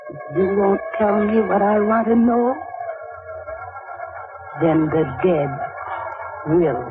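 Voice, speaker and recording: A woman's voice: medium pitch, slow, music in the background, natural voice. An actress playing an intense, haunted young woman in an old-time radio drama, speaking with theatrical suspense. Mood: intense, defiant. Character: intense, haunted young woman seeking her dead father's truth. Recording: tinny, narrow-band old radio recording.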